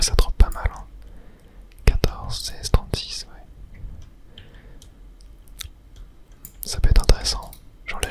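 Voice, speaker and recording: A fully whispered voice in an ASMR gaming style, speaking close to the microphone. The delivery is hushed and unhurried, commenting on the game as it is played. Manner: hushed whispering, unhurried narration of own play. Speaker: gaming ASMR creator and host.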